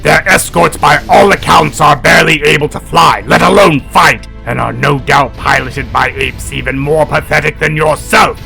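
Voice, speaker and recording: A man playing a proud, contemptuous cat-like alien warrior in a sci-fi audio drama, gravelly and imperious. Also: a little high, normal speed, hardly any pauses, sharp tone, soft background music, heavily acted, low-quality recording. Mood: scornful. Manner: imperious, scornful.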